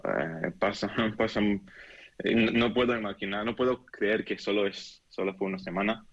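Deep-voiced young Israeli man, dull audio. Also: dry recording. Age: 20s. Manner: halting non-native speech, searching for words. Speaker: interviewee giving an eyewitness account. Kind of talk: unscripted interview. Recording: dull, band-limited remote call audio.

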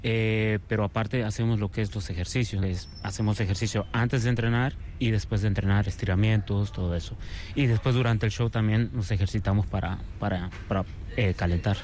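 A man with a Venezuelan accent answering interview questions casually, relaxed and a little hesitant, often repeating words. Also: on the low side, brisk, a little hiss or hum. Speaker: circus artist, interview guest.

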